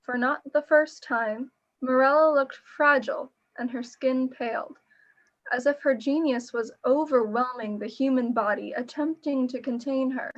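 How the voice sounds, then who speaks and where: A woman's voice: high-pitched, fairly even intonation, unhurried, muffled recording. A woman playing a worried, devoted assistant who narrates a gothic radio play in an earnest, literary storytelling manner. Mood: somber, concerned. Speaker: voice actor and narrator.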